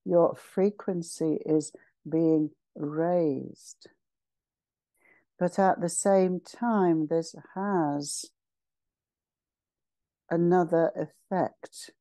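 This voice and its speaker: Woman, meditation guide, mellow dark tone, extremely unhurried, solemn ceremonial phrasing, dry recording. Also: fairly low pitch, no room sound. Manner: solemn, measured, ceremonial, short phrases with pauses. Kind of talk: slow guided meditation, channeled spiritual address.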